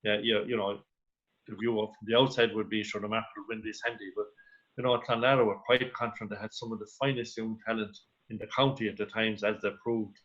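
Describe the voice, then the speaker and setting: An Irish man giving his view as a sports pundit, conversational and halting, with repeated phrases. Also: on the low side, flat delivery, fairly bright tone. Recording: dull lo-fi radio link.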